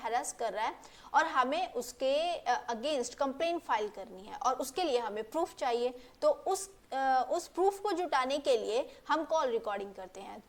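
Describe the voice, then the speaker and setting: A woman presenting an informal explainer lesson, rephrasing points as she goes and mixing English legal terms into her speech. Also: sits high.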